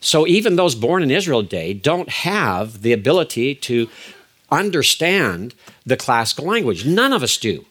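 An older man giving a lecture as a biblical Hebrew teacher, measured and emphatic, with frequent fillers and restarts. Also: medium pitch, very animated, a bit bright. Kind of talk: recorded lecture.

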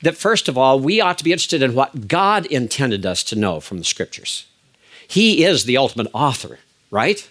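An older man giving a lecture as a biblical Hebrew teacher, measured and emphatic, with frequent fillers and restarts. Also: medium pitch, big pitch swings, fairly bright tone. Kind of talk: recorded lecture.

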